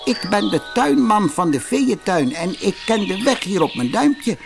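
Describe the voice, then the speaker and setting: Man acting a little old gnome gardener, very high voice, friendly, brisk, storybook fairy tale, music behind. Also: big pitch swings, gravelly, full character voice. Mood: curious, helpful. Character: tiny kindly old gnome gardener with long beard. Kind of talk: storybook character voice.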